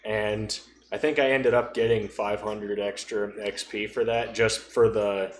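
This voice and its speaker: A man's voice: lowish voice, little pitch movement, unhurried. A man in casual conversation about tabletop role-playing, speaking as a gamer on a podcast. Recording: roomy echo, faint background noise.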